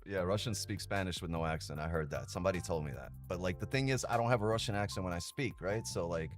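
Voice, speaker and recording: A man's voice: deep voice, fast, faint music behind. Profane joking man hosting a stream. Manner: joking, profane, laughs often. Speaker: day-trading livestream host. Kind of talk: casual livestream chat.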